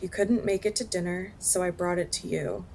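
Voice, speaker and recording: A private woman at home in an audio drama. Her voice is on the low side with a creaky edge, and she speaks casually, with understatement. Faint noise sits behind her. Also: steady tone, normal speed, a little mellow, rough recording. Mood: fond.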